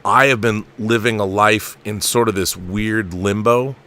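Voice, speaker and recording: A man's voice: on the low side, fairly even intonation, unhurried, bright, forceful tone. A middle-aged man hosting an interview in an enthusiastic, emphatic and effusive way. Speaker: show host.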